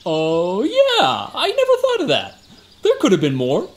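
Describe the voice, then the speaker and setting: Swooping, boastful, dim guard. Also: male voice, sits high, wildly swooping pitch, measured pace, exaggerated voice. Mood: bumbling bravado. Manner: bragging, exaggerated, bumbling. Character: boastful, dim, attention-hungry male security guard. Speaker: temple guard witness. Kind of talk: comic radio play.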